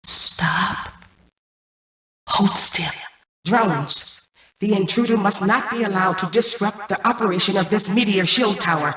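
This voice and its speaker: A woman playing a cold, imperious mainframe AI in a video game, menacing and calculating, with formal, commanding, measured robotic delivery. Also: mid-range voice, fairly bright tone, lightly acted. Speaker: game antagonist turned ally. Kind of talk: scripted video game voice acting. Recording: distant, echoey processed game audio.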